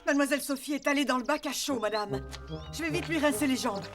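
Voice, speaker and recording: A woman's voice: high-pitched, fast talker, loud music behind the voice, natural voice. A woman playing a dutiful, fretful nursemaid with a reproachful manner in a French cartoon. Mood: deferential. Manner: deferential to madame, reproachful to children. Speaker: children's maid. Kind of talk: French animated series dubbing.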